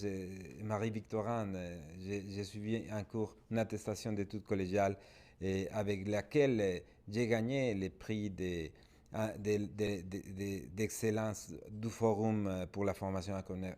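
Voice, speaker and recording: Un homme d'origine mexicaine, avec un espagnol mexicain, répond en studio en tant que consultant et répète souvent ses mots. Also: male voice, low-pitched, darkish tone. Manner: repeats and restarts words. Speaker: international development consultant. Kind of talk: panel guest answering.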